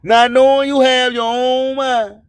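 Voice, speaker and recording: A radio host with a very high voice for a man, speaking slowly and emphatically. His delivery is declamatory and clean, built on repeated catchphrases and motivational address. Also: little pitch movement, clear, resonant tone, no echo. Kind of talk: motivational radio monologue with promotional shout-outs.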